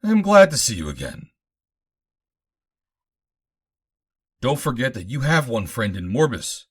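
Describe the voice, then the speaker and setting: An eager, loyal artificial man who is only a living head, acted out by a male audiobook reader. The voice calls out loudly in exclamations, lifted in pitch, with a husky edge. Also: wildly swooping pitch, fairly quick. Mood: eager, hailing.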